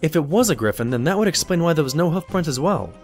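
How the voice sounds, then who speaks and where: An earnest doctor sidekick played by a man, with a very animated, swooping delivery. He is expressive and easily flustered, in audio drama style, with soft music behind him. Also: on the high side, quick pace. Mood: earnest, curious. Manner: expressive, stammering when embarrassed.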